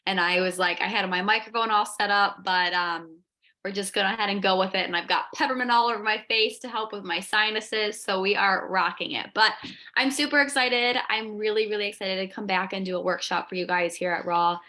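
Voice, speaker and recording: Raspy, bright-voiced woman presenter. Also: medium pitch, fairly quick. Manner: enthusiastic, chatty. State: raspy from allergies. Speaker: wellness coach and podcast host. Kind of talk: casual workshop presentation. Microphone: video call.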